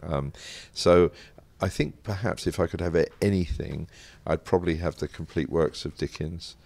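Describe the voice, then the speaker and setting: A man's voice: deep voice, moderate pace, gravelly, darkish tone, no room sound. An older British man talking conversationally as an interview guest, telling anecdotes in a discursive, self-correcting way. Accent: British English. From Britain.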